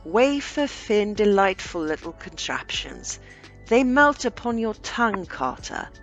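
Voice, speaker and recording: A woman voicing a pompous, disdainful older-style gentleman solicitor in a storybook reading, with emphatic delivery. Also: medium pitch, very animated, measured pace, soft background music, rough recording. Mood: disdainful, rapturous. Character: tall balding pompous gentleman solicitor with sweet tooth.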